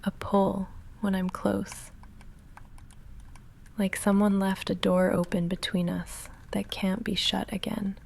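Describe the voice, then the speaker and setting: Female goth vampire, played by a woman, intimate ASMR roleplay: breathy, heavily creaky, unhurried and halting, intense but holding back. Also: mid-range voice. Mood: tender, yearning. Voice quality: breathy, often whispering, creaky. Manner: halting, hushed, confessional with dry deadpan asides. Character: female goth vampire roommate, lovestruck, restrained, nervously intense.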